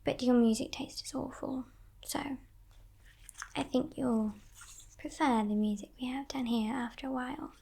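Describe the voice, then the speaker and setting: A woman voicing a teasing, possessive female demon captor in a breathy, mock-polite whisper, as ASMR roleplay. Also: high-pitched, fairly even intonation, exaggerated voice. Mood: smug, amused. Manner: slow, hushed, mock-polite, condescending.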